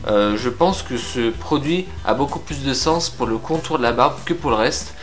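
A man's voice: mid-range voice, faint music behind. Chatty young man reviewing beard products. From France. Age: young adult. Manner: lively, frank, chatty with filler pauses. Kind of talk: casual product review to camera. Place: indoor room with shelves of figurines.

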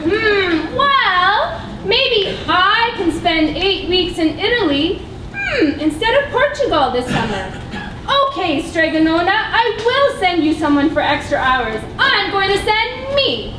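A woman's voice: very high voice, big pitch swings, slow. A woman performing as herself in a puppet show, flustered and hesitant with bursts of laughter. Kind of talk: amateur puppet show acting. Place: stage in a hall with an audience. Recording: echoey room from far off with noise.

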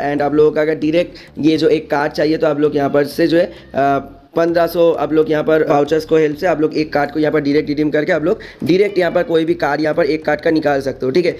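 The rapid, soft-toned Indian guy you would hear on a gaming channel, walking viewers through new events with the same little tags and bits of English. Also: on the high side. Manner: repetitive verbal tags, mixes in English words. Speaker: gaming video creator. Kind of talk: casual explainer commentary on game events.

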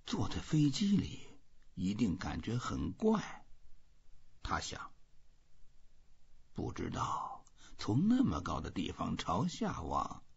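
Male audiobook reader, slow pace with animated intonation, raspy, slightly muffled lo-fi sound. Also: lowish voice. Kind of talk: scripted narration of a literary novel.

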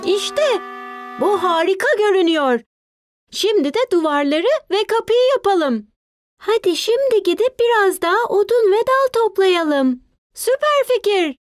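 Excitable little cartoon kid, super high, takes their time. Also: female voice, no room sound, clean studio sound. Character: eager young child playing adventure games. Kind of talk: cartoon dub acting.

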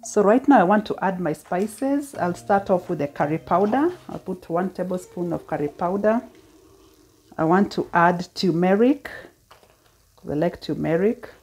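A woman's voice: on the low side, expressive, normal speed, a little mellow, husky. A woman hosting a home cooking video, casually talking through each step as she cooks in a kitchen. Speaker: cooking channel host.

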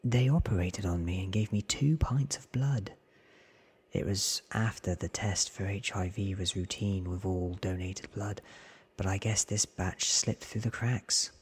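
A man voicing a candid, careful, sickly young man in a hushed, earnest ASMR storybook reading. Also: deep voice, a little slow, full character voice. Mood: candid, resigned. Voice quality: breathy.

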